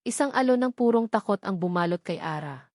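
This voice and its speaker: Casting: female storyteller, mid-pitched, for dramatic scripted fiction, close dry sound. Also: animated, a little fast, darkish tone, no echo.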